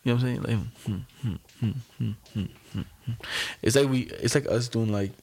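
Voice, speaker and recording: A man with an ordinary, mid-range voice banters on a podcast, slangy and quick to laugh. He keeps the talk loose and casual. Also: mid-range voice, steady tone, vocal fry, darkish tone, husky. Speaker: podcast co-host. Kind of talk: casual chat.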